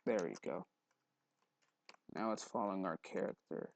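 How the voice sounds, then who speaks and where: A man hosting a casual software tutorial, thinking aloud informally with mild exclamations. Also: low-pitched, lively intonation, normal speed, creaky. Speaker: tutorial host.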